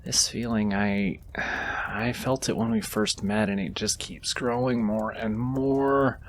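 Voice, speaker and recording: A man playing a gentle, easily flustered wizard in a comforting fantasy audio roleplay, tender, soft-spoken and intimate. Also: lowish voice, steady tone, takes it slowly, a little hiss or hum. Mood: smitten, confiding. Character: gentle, flustered male wizard comforting a companion he secretly adores.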